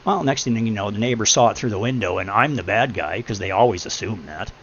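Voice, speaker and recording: A deep-voiced middle-aged man giving blunt, preachy advice in a casual chat, swearing freely. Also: fast, fairly bright tone. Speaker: podcast host. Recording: muffled lo-fi with faint hum.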